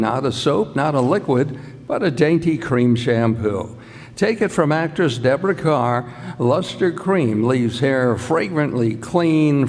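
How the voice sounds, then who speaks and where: An actor playing an earnest, polished 1940s radio pitchman reading a commercial in a radio play. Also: a little mellow. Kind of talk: old-time radio advertisement. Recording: echoey hall stage recording.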